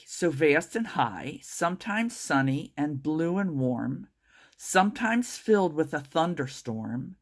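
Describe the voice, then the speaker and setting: Slow, low-voiced woman reading a storybook. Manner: slow, drawn-out, expressive storytelling for young children. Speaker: storytime reader. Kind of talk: expressive read-aloud of a children's picture book.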